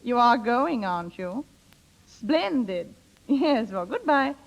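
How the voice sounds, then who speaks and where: An actress playing a meddling, gushy and insincere married older sister in 1930s radio style. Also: female voice, a little high, sing-song, slow, full character voice. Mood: sweetly false. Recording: muffled 1930s radio recording.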